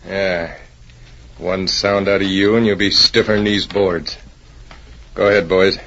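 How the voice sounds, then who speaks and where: A gruff outlaw gang leader from an old radio drama. His voice is on the low side, and he barks curt threats in frontier slang. The recording is dull, with faint hiss. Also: little pitch movement, a little slow, fairly bright tone. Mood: menacing, commanding. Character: gruff, menacing male bank-robbing gang leader. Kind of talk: 1940s radio acting.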